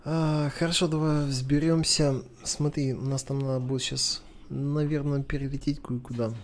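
A man's voice: mid-range voice, flat delivery, moderate pace, slight background noise. A man gives casual let's play commentary, thinking aloud as if to a companion. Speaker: gameplay commentator.

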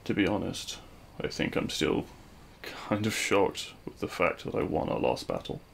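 A man playing a regretful, lovelorn supervillain in an audio roleplay, speaking in a hushed, halting, wistful way. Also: sits low, big pitch swings, vocal fry, a little hiss or hum, full character voice. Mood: regretful, empty. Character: regretful lovelorn male supervillain addressing his fallen hero. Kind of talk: scripted ASMR audio roleplay monologue.

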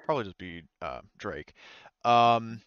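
A man's voice: fairly low pitch, expressive, unhurried, fairly bright tone, clean tone, no echo, lo-fi sound. A man running a tabletop game session as game master, talking casually with the players.

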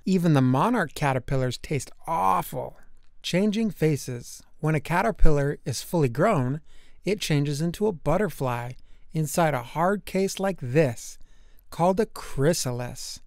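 A man narrating a children's nature book aloud, with deliberate, emphatic storytelling for young listeners. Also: a little high, lively intonation, gravelly, no echo, studio quality.

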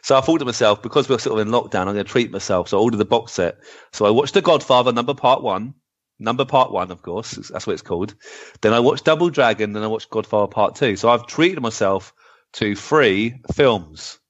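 A man's voice: lowish voice, lively intonation, fairly quick. A man chatting casually and jokily as a podcast co-host. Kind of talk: casual chat. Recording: slightly dull, lo-fi microphone sound.

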